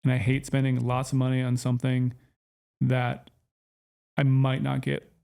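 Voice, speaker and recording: A man's voice: mid-range voice, monotone, moderate pace, vocal fry, a little mellow. A man co-hosting a casual podcast, explaining his choices in an easygoing, plain way. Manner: easygoing, explains plainly, short agreeing replies. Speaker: podcast co-host.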